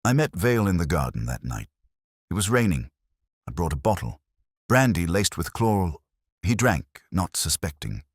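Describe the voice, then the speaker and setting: A male narrator plays a weary old magistrate from a detective story, burdened with guilt. The voice is very low yet lifts and falls markedly, confessing in halting, formal phrases. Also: very animated, slightly rough, no room sound, studio quality, full character voice. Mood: guilty confession.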